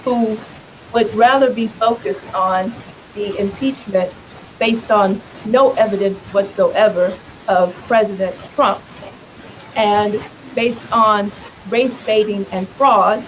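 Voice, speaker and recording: A hoarse, raspy-voiced woman speaking to a room as a political candidate. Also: medium pitch, steady tone, takes it slowly, slight background noise, poor audio. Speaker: congressional candidate. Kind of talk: campaign speech.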